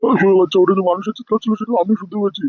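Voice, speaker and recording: A gruff old cartoon grandfather, acted by a voice performer, fires off impatient, crude demands at a quick pace. The sound is thin and phone-like, with no room to it. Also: mid-range voice, steady tone, no room sound, lightly acted. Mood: startled, irritated. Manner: blustering, crude. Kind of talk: comedy cartoon voice acting.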